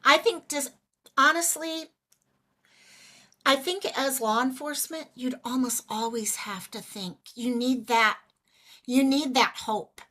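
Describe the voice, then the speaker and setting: A woman hosting a casual livestream, chatty and greeting viewers by name, a little hoarse as she is losing her voice. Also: sits high, takes it slowly, sharp tone. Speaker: livestream host.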